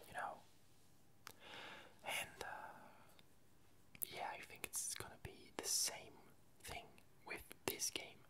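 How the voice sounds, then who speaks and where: An ASMR creator rambling casually in a soft, breathy, unvoiced whisper, halting and unhurried while searching for words. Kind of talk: whispered ASMR ramble about games and films.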